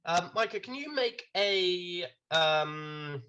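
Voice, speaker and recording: A man running a tabletop game as game master, explaining rules in a relaxed way. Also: high voice, slow pace, clean tone, lo-fi sound.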